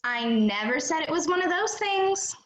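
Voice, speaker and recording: A woman's voice: extremely high pitch, expressive, moderate pace, echoey room. A woman in an amateur play acting a cocky, scheming teenage bank robber with a breezy, confident manner. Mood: matter-of-fact.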